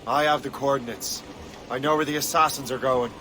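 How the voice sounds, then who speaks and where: A man's voice: medium pitch, fairly even intonation, brisk, sharp tone, slight background noise, natural voice, rough recording. An actor playing a brooding young male assassin turned sea captain in a dramatic video game, earnest and hard-edged. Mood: grave, businesslike.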